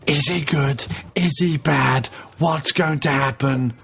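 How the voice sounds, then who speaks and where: A man's voice: fairly high pitch, slow, creaky, fairly bright tone, breathy edge. A man co-hosting a podcast movie commentary, joking and riffing on the film, often slipping into mock quotes of characters. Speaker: podcast co-host. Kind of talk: casual movie commentary with jokey put-on voices.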